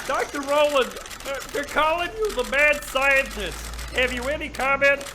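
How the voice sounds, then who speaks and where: A man's voice: very high voice, moderate pace, noisy background. An actor playing an insistent man who calls out and badgers someone in an audio drama. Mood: badgering. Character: shrill, insistent man calling out, pestering.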